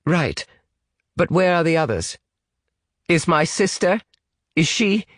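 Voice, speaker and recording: A man's voice: medium pitch, sing-song, normal speed, no room sound, studio quality, pitched above the performer's own voice. A man voicing a stiff, formal young British man with precise wording, anxious beneath it, in an audiobook story.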